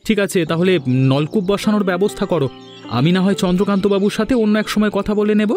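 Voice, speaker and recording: Quick, high-voiced baffled village man played by a man. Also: darkish tone, lightly acted. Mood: polite. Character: polite, easily baffled village landowner. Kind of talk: Bengali fairy-tale cartoon dubbing. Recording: music bed under the voice.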